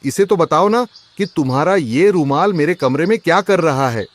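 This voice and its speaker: A man playing an agitated, suspicious rich merchant husband in a cartoon, loud and complaining. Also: a little high, animated, normal speed, lightly acted. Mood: accusing. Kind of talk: Hindi cartoon story voice acting.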